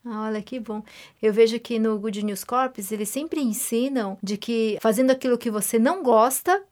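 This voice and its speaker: Woman from Brazil, radio host, encouraging, fairly high voice. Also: a little mellow. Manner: encouraging, quick to affirm. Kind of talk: radio interview.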